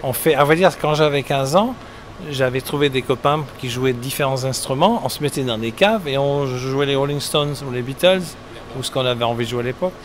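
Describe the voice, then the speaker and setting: A middle-aged man from England, a musician, answering interview questions in a relaxed, rambling way with many hesitations. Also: medium pitch, brisk. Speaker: musician guest. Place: outdoors at a summer concert event. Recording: outdoor street noise behind. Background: traffic and street ambience.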